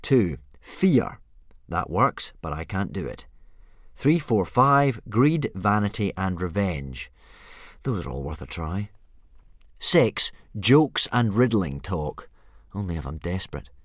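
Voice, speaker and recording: A man's voice: low-pitched, very animated, slow, no room sound, studio quality, full character voice. A man voicing a frustrated boy trying to train his stubborn dragon. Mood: reasoning. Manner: pleading, exasperated.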